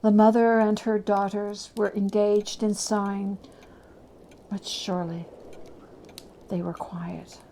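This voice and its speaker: Slow-paced woman reading a story dramatically. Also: medium pitch, flat delivery, warm tone, slight background noise.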